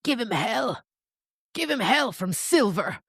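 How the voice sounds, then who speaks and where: A woman's voice: fairly high pitch, big pitch swings, slow, fairly bright tone, husky, dry recording, pitched above the performer's own voice, full character voice. A wounded old-barn nanny goat, played by a woman narrator, grunting weakly yet fierce and defiant. It is a storybook animal voice, recorded dry and close. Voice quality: weak, grunting.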